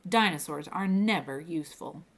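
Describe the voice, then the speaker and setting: A woman's voice: sits low, normal speed. Woman acting a bossy storybook girl companion, curt, scornful, dismissive.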